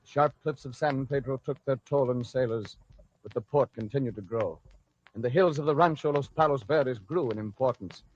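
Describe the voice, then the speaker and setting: A man's voice: medium pitch, moderate pace, a little mellow. A man delivering scripted narration for an old-time radio drama as its storyteller. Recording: dull, noisy old radio recording.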